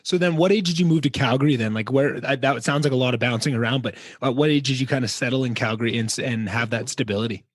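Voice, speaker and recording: A man's voice: medium pitch, fast talker, few pauses, no echo, clean studio sound. A man hosting a podcast, asking long, meandering questions full of restarts and fillers. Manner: rambling, lots of restarts and fillers. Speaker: podcast host. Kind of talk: casual interview chat.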